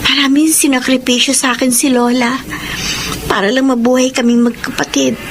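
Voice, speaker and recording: A dutiful young woman in a radio drama. Her voice is high, earnest and respectful, full of selfless feeling, over clear background noise. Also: flat delivery, moderate pace. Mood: earnest, gently firm. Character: dutiful, selfless young working granddaughter and daughter. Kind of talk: emotional radio drama acting.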